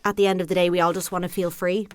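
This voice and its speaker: A woman's voice: lowish voice, level tone, racing, smooth, no echo. A woman singer-songwriter chatting casually as a guest in a music interview.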